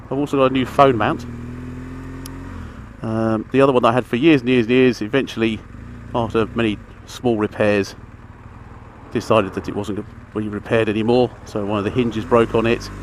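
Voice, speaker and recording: Low-pitched man, casual motorbike vlog, rambling and conversational, clear engine and traffic noise. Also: steady tone, normal speed. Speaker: motorcycle vlogger. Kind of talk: casual chatty gear review while riding. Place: outdoors inside a helmet on a motorbike. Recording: engine, traffic and outdoor noise, helmet mic.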